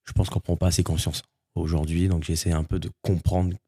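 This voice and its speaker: A man's voice: sits low, flat delivery, a little fast, dark tone, husky. A young man from French Guiana, a podcast guest talking casually and hesitantly with many fillers. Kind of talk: casual interview chat.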